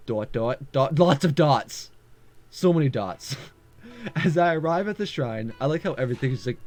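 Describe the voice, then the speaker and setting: A man's voice: mid-range voice, a little mellow. A man reading a visual novel aloud as a gaming video host, with casual asides and the odd stumble over words. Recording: music behind the voice. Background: game background music.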